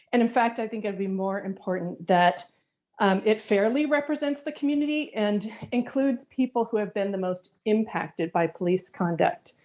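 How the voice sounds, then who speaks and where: A woman's voice: lowish voice, a little mellow. Earnest woman on a dull call line. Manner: earnest, halting, many fillers. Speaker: resident giving public comment. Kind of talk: public comment at a community forum. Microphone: video call.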